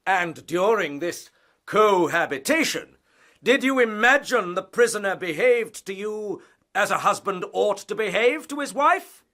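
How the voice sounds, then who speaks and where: A man's voice: high voice, lively intonation, measured pace, sharp tone, heavily acted. A man playing a pompous, hectoring prosecuting barrister in a period radio drama. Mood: stern, badgering. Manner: pompous, insistent, declamatory.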